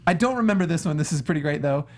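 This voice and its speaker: A man's voice: on the high side, lively intonation, very fast, darkish tone. Sarcastic man co-hosting casually. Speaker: podcast co-host. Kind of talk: casual, joking film discussion.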